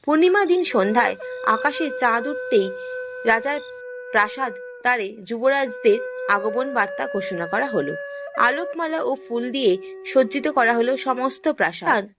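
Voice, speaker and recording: A woman's voice: a little high, lively intonation, moderate pace. A woman narrating a fairy tale from a script as a storyteller, with music behind her. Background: background music.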